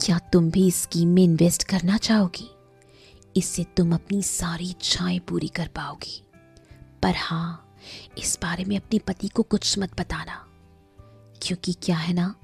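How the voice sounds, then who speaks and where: Woman acting a breathy, scheming rich friend. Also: fairly low pitch, a little mellow, pitched below the performer's own voice, heavily acted. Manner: coaxing, confiding. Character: smooth-talking rich woman swindler posing as best friend.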